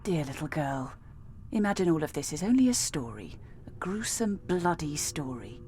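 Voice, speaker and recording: A woman's voice: low-pitched, very animated, moderate pace, a little mellow, slight background noise. An actress playing a stern, sardonic female assassin in a video game, with a dry, threatening delivery. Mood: dark storytelling.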